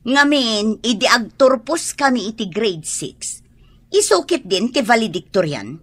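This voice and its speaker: A woman's voice: fairly high pitch, very animated, unhurried, a bit bright, breathy edge, full character voice. A woman playing a resentful, scolding middle-aged mother in a Filipino radio drama. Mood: resentful, defensive.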